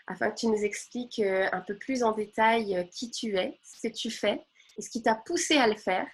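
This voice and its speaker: A woman's voice: fairly high pitch, normal speed, breathy edge, low-quality recording. A woman hosting an interview, asking questions with eager, exclamatory enthusiasm. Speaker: host. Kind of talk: conversational interview.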